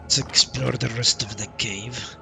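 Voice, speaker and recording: A man's voice: mid-range voice, fairly even intonation, measured pace, creaky. A man streaming a video game, casually thinking aloud as he comments on his play. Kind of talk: live gameplay commentary, casual. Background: prominent game music.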